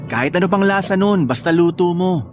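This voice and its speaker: An actor plays a doting young man in love in a Filipino radio drama. He is teasing and utterly smitten with his girlfriend. Soft music sits faintly behind him. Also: sits high, fairly quick, close to an everyday voice. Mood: tender. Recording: faint music under dialogue.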